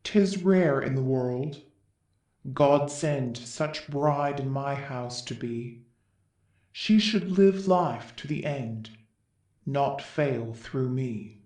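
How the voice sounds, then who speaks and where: A man's voice: mid-range voice, extremely slow, darkish tone. A man playing a reverent, solemn chorus elder in Greek tragedy, declaiming lyrical verse. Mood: reverent, mournful. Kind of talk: classical verse drama reading. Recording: echoey room.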